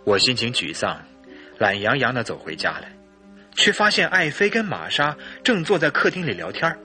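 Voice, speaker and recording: An actor playing a distraught, nervous husband in a radio drama, speaking earnestly. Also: male voice, mid-range voice, huge pitch swings, moderate pace, pushed and bright, faint music behind, heavily acted. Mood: dejected, agitated.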